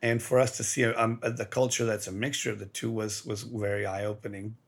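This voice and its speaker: Husky gravelly man explaining earnestly. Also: lowish voice, flat delivery, a little fast. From Mexico. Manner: earnest, explains with fillers and restarts. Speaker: artist. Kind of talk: reflective documentary interview.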